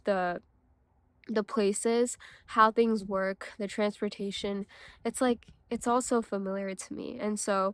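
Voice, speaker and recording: A woman's voice: mid-range voice, dry recording. A teenage girl from China reflecting in a personal vlog, thoughtful and hesitant, with many fillers and restarts. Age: teens. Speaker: vlogger.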